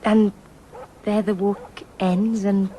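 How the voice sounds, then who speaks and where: Woman acting a mellow, teasing young Englishwoman. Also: medium pitch, level tone, takes it slowly, natural voice, poor audio. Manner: dry, teasing. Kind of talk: audio drama acting. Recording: faint background noise.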